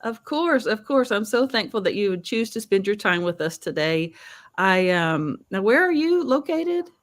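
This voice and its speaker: A woman's voice: mid-range voice, very animated, moderate pace, dry recording. A woman from Oklahoma with a strong Southern accent, hosting a casual video chat in a chatty, upbeat way. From Oklahoma, USA. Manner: chatty, upbeat, laughs often. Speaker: show host.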